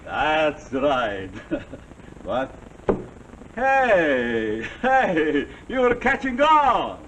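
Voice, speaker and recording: A bullying, boastful general, pitched high and speaking slowly. He gloats in halting phrases, in the manner of 1940s suspense acting. The old television soundtrack hums with noise. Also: huge pitch swings, fairly bright tone, exaggerated voice. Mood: gloating. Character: boastful, domineering self-made general, a former stable boy. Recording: noisy, humming 1949 television soundtrack.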